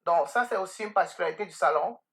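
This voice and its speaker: A woman's voice: fairly low pitch, fast. A middle-aged woman speaking as an interview guest, earnest and emphatic, telling anecdotes and addressing listeners directly. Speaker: podcast guest, beauty salon owner. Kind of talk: conversational interview answers with anecdotes.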